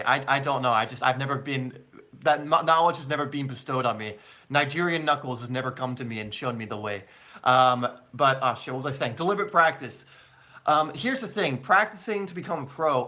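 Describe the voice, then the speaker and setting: Bright-voiced man chatting on a live stream. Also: mid-range voice. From born in England, long living in the United States. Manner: chatty, informal, addresses viewers as mate and guys. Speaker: soccer YouTuber and live stream host. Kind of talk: casual live stream Q&A chat.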